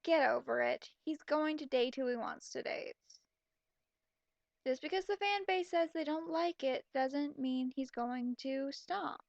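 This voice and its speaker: A woman's voice: high voice, a little slow, vocal fry, dry recording, dull audio. A woman giving sarcastic, exasperated commentary as she reads a story aloud.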